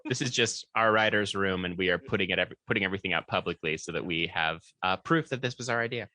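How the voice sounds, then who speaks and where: Very deep-voiced, easygoing man co-host. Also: quick pace, pushed and bright. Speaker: podcast co-host. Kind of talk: casual chat.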